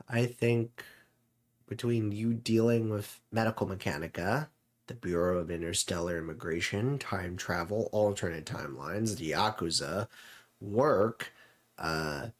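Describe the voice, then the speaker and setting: A host of unclear sex chatting casually and in character, with a halting, unhurried delivery. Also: deep voice. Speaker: show host.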